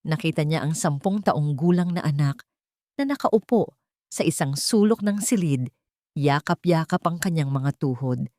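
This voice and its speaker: A woman's voice: sits low, expressive, normal speed, mellow, no room sound. A woman narrating a scripted story in a solemn, melodramatic manner.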